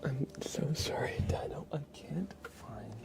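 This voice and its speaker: A man hosting an ASMR livestream, chatting casually and softly close to a sensitive microphone. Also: mid-range voice, animated, gravelly. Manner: whispered, soft-spoken, unhurried. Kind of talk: ASMR, casual stream chat.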